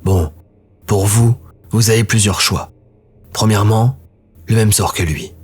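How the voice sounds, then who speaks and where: A man voicing a ruthless male dark elf warlord who speaks in a cold, measured way. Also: fairly low pitch, slightly rough. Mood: menacing.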